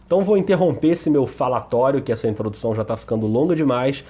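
A man's voice: medium pitch, expressive, quick pace, warm tone, slightly rough. A man, hosting a podcast in a conversational style. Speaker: podcast host.

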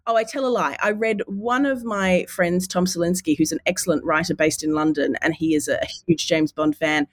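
A woman with a head cold that makes her husky, telling stories animatedly and laughing often in a podcast chat. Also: fairly low pitch, fairly even intonation, a little fast, few pauses, dry recording. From Australia. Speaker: podcast guest.

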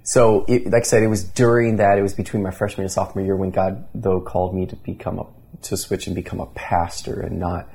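A man's voice: low-pitched, level tone, a little fast, dark tone, slightly rough. A man in his thirties from Indiana, a pastor telling his story in an earnest conversational interview, with many restarts. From Indiana, USA.

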